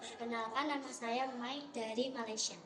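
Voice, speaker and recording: A woman's voice: fairly high pitch, steady tone, moderate pace. A teenage girl, a student, introducing herself in short, plain answers during a classroom activity. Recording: echoey classroom with noise.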